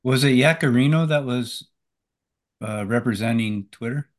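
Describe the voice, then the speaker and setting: A man's voice: mid-range voice, normal speed. A man on a casual tech podcast panel making dry, wry short remarks.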